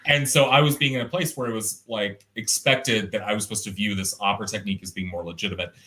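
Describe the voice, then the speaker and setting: A young man speaks over a video call, his deep, resonant voice carrying a bright, forward edge. His pitch sits a bit low, and he rambles thoughtfully, restarting sentences and using lots of fillers. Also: steady tone, fast talker, poor audio. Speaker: artist guest. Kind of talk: casual conversational interview.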